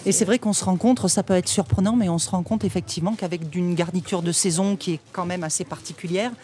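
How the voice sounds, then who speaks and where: A woman's voice: lowish voice, fairly even intonation, fast, warm tone, a little hiss or hum. A middle-aged woman, co-manager of a restaurant and the mother of the pair, chatting easily in a radio interview on an outdoor terrace. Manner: chatty, addresses host with "si tu veux". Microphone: table mic on stand.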